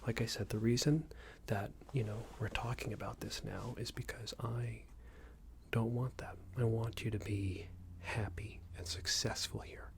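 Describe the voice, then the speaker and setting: A man playing a smug, unreasonable boss in an ASMR roleplay, scolding an employee in a soft breathy whisper with a hesitant, condescending manner. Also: fairly even intonation, measured pace, a little hiss or hum. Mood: passive-aggressive. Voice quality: breathy soft whisper with low creaky murmur. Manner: hesitant, condescending, meandering reprimand.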